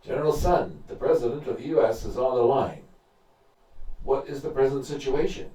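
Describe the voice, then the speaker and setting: A man's voice: medium pitch, moderate pace, warm tone, recorded from a distance, voice pushed up. An older man voicing stern, commanding officials and invaders over comic-book radio, with heavy echo. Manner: stern, declamatory orders. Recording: heavily echoing voice with music behind.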